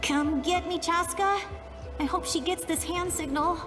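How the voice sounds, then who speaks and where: A woman's voice: very high-pitched, unhurried, music in the background. A woman voicing an eager, cheeky young girl sidekick in a video game, chirpy, teasing and self-assured. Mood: scheming.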